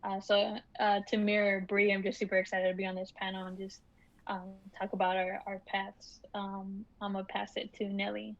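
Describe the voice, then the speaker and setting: A woman's voice: mid-range voice, completely flat delivery, moderate pace, highs cut off. A twenty-two-year-old woman from California, a design student, eager but a bit hesitant. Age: 20s.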